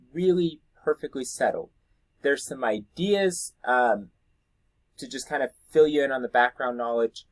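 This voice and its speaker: A man speaks in a medium-pitched voice with very little room sound. He explains things like an educator talking to fellow teachers. His delivery is informal and instructional, as if thinking the points through aloud. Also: no echo, rough recording.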